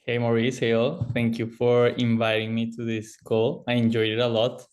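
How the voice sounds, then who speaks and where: Guest, Colombian-accented man in his 30s, monotone delivery, careful with fillers. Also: medium pitch, moderate pace. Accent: Colombian Spanish. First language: Spanish. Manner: flat, careful, with many uh fillers. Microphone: video call.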